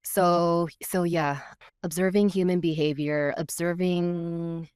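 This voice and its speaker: A woman's voice: lowish voice, steady tone, slow, darkish tone, smooth, no room sound, clean studio sound. A woman in her thirties, born in Korea with Korean as her first language and raised in California, talks reflectively as a podcast guest, searching for words as she goes. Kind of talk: casual chat.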